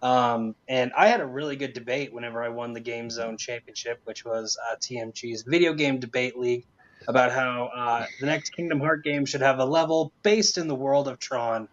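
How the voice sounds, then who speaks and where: A man's voice: mid-range voice, steady tone, normal speed. A man giving his take as a panelist in casual, enthusiastic chat. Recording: dull lo-fi mic sound.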